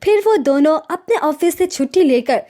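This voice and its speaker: High-pitched woman narrating a story. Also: expressive, normal speed, dark tone.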